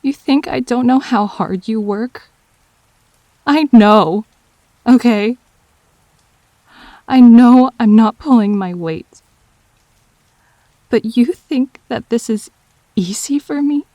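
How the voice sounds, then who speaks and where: A high-voiced actress as a grieving girlfriend in an audio drama, wounded and defensive. She takes her time, pausing between halting phrases, in a very dry, close recording. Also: mellow, no room sound, poor audio. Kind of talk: emotional audio drama acting.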